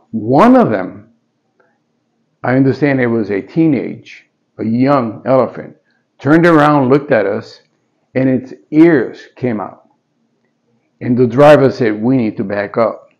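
A man's voice: mid-range voice, darkish tone, dull audio. A man in his sixties, from Puerto Rico, with a Spanish-tinged New York accent, giving a friendly, hesitant gallery talk about his paintings with many fillers. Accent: Puerto Rican Spanish-tinged New York. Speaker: artist. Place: small indoor gallery with stone walls.

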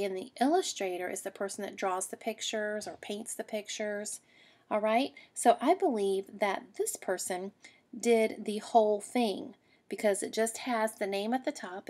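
A woman teacher reading a picture book aloud to young children, explaining patiently and checking in with questions. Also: medium pitch.

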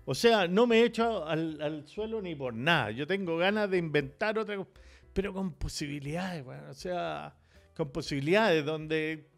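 Chilean man in his 70s, slightly high voice, rambling slangy host, boom mic. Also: normal speed, creaky, soft background music. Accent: Chilean Spanish. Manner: loose, rambling, slangy banter. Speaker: podcast host. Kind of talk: casual podcast conversation. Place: indoor home studio. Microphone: boom mic at mouth.

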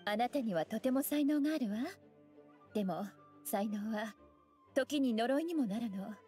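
A woman voicing a graceful, teasing young scholar mage in an anime game, with elegant, playful, unhurried phrasing. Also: on the high side, lively intonation, mellow, close to an everyday voice. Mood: gentle, sincere. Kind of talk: Japanese anime game voice acting. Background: music.

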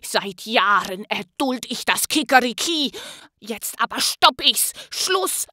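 A woman's voice: fairly high pitch, expressive, slow pace, sharp tone, no room sound, studio quality, heavily acted. Woman putting on a grumbling, spiteful storybook creature. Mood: exasperated, defiant. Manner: growling, grumbling. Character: grumpy, spiteful male Grinch creature, seething with hatred. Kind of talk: dramatic storybook reading.

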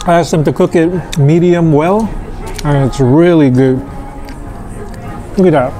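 A man in his thirties reviewing restaurant food in a casual, halting way, full of fillers, on an outdoor patio with a camera on the table. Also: a little high, poor audio. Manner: slow, relaxed, halting with many fillers. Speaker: food vlogger. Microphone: camera on table across from him.

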